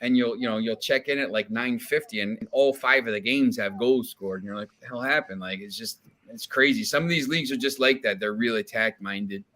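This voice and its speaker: A man from New Jersey chats loosely on a betting show, his voice bright and forward. He circles back on himself, restarting sentences and stacking fillers as he talks. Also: medium pitch, brisk, hardly any pauses. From New Jersey, USA. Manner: rambling, many restarts and fillers. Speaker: sports betting analyst guest. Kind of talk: casual podcast chat.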